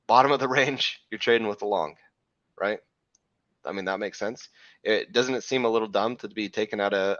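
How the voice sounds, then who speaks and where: A man hosting a live crypto trading show, talking through chart analysis in a rambling way, correcting himself, with a slightly hoarse voice from losing it. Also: fairly low pitch, a bit bright, no echo, lo-fi sound. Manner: rambling, self-correcting, frequent "right?" checks.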